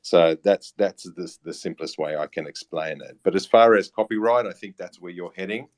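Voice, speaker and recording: A man's voice: sits low, steady tone, moderate pace, no room sound, clean studio sound. A man answering as an interview guest, explaining things off the cuff in a hesitant way. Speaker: guest, company representative.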